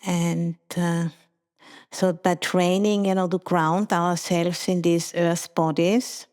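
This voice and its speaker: A middle-aged woman from Austria with an Austrian German accent, speaking as a Buddhist nun giving a dharma talk at a retreat, slow and halting, with many fillers and restarts. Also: on the low side, little pitch movement, darkish tone.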